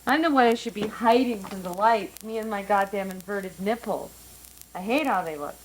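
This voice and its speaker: A woman's voice: medium pitch, moderate pace, slight background noise, lightly acted, poor audio. An actress playing a guarded woman in her thirties with a dry, sardonic way of talking. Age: 30s.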